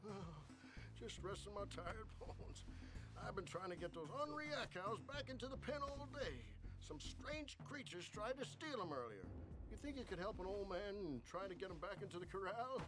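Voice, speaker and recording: An actor voicing a tired, folksy old rancher in a cartoonish video game, with a rustic grumbling drawl. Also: male voice, high voice, expressive, moderate pace, music in the background, poor audio. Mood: weary.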